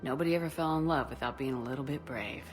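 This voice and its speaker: A woman's voice: extremely low pitch, fast. A woman playing a frank, encouraging female military commander in a video game, speaking directly and reassuringly. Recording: background music.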